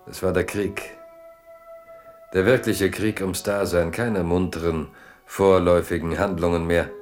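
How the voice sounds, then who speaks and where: Solemn guy narrating a literary radio play, really slow and measured, bit of music underneath. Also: deep voice. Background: faint music.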